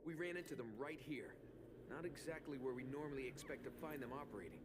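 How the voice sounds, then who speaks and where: A man's voice: medium pitch, fast, noisy background, lightly acted. An actor voicing an earnest young male mutant turtle who leads the group and gives orders. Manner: commanding, earnest. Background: game music.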